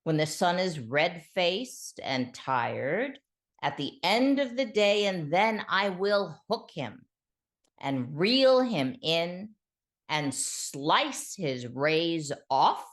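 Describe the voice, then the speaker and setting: A woman voicing a bold, boastful male demigod trickster in a storybook, with emphatic, drawn-out menace. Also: sits low, expressive, full character voice. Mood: bold, menacing.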